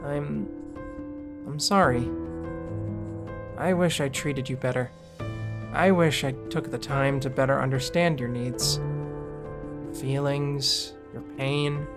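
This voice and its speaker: Slow, halting, guilt-ridden male witch played by an actor. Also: medium pitch. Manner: hesitant, halting, confessional. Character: guilt-ridden witch writing a remorseful letter. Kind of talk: audio drama monologue.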